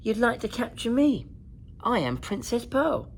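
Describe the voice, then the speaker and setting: A plucky young princess with a kind heart, voiced by a woman reading a storybook. She is well-spoken and unhurried, with a lifted, lively melody. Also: fairly high pitch, creaky, slight background noise, pitched above the performer's own voice, exaggerated voice. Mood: kind, sympathetic. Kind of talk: children's storybook character voice.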